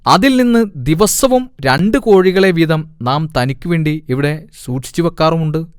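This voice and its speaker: A man's voice: a little high, moderate pace, smooth, no room sound, clean studio sound, lightly acted. A man voicing a pompous, scheming cat king in a cartoon, with a commanding, self-important manner. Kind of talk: cartoon voice acting.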